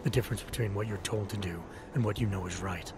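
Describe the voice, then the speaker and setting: An actor playing a calm, serious former cop turned Interpol agent in a game, terse and steady, over loud music. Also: male voice, on the low side, fast, lightly acted. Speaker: game protagonist.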